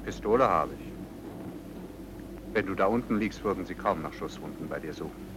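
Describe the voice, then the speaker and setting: An actor playing a suave, smugly amoral racketeer in a vintage radio play, with a nonchalant, theatrical delivery. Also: male voice, deep voice, normal speed, noisy background, lightly acted. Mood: cynical, menacing. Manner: theatrical, nonchalant, swinging from muttering to declaiming.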